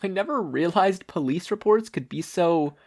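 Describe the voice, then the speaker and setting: A man voicing an earnest, excitable teenage boy hero who is easily amazed, in a story reading. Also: high-pitched, moderate pace, voice pushed up.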